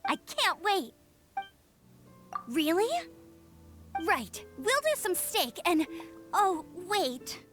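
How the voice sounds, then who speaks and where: A woman voicing a cheerful, eager young female scout in a video game, with a perky, expressive, chatty manner. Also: extremely high pitch, extremely slow, long pauses, faint music behind, exaggerated voice. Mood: excited. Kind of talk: video game character dialogue.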